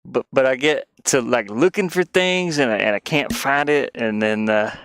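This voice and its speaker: A man's voice: medium pitch, moderate pace, a bit bright, smooth, dry recording, studio quality. A man live streaming with casual, rambling chat in a scattered, self-deprecating manner. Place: inside a small cluttered van.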